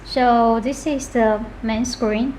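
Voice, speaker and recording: A woman with a slightly high, soft-edged mellow voice walks through a product demo without a script. She speaks slowly and haltingly, naming items one at a time, over faint background noise. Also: fairly even intonation, rough recording. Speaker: product demonstrator. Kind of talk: unscripted product demo walkthrough.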